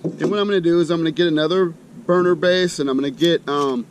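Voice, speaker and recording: A man's voice: steady tone, fast. A middle-aged American man chatting casually in a folksy, colloquial way, thinking aloud as he demonstrates camp cooking. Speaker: host of an outdoor cooking channel. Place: outdoors. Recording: outdoor recording with loud ambient rumble.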